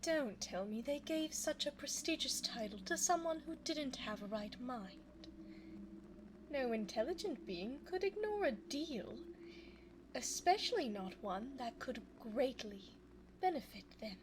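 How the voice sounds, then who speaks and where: A woman playing a scheming, seductive female vampire in an ASMR audio roleplay, speaking formally and teasingly in a breathy voice that often drops toward a whisper. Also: high voice, animated, background noise. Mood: coolly persuasive. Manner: formal, coy, teasing, drawn-out phrasing. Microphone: close binaural microphone.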